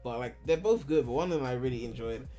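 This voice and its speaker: Young man from Ghana, home streamer, loose rambling chat, mid-pitched, light music underneath. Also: quick pace, dark tone. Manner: rambling and candid, heavy on filler "like", swears freely. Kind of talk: casual live-stream chat answering viewers.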